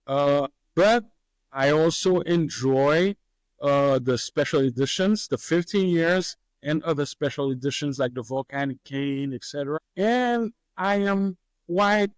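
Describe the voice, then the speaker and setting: A man, a master distiller answering interview questions in a relaxed, hesitant way with many fillers and easy laughs. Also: on the high side, smooth, dry recording, low-quality recording. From Haiti.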